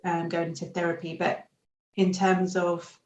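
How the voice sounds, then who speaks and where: A woman speaking as a panel guest in a quiet, measured and hesitant way. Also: fairly low pitch, completely flat delivery, slight background noise. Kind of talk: video panel discussion. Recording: distant, roomy, dull audio.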